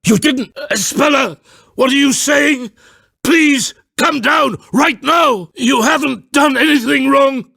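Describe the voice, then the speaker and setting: Gravelly, grandiose storyteller father. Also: very high voice, pushed and bright, no echo, shouting, full character voice. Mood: panicked, distraught. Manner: grandiose, deliberate, formal. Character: grave, theatrical father and storyteller ruling a town. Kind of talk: dramatic video game voice acting.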